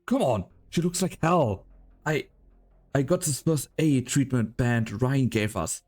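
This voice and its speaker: A man playing an anxious, soft-hearted young henchman in an amateur game fan dub, excitable and stammering. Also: mid-range voice, measured pace, natural voice. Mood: panicked, worried.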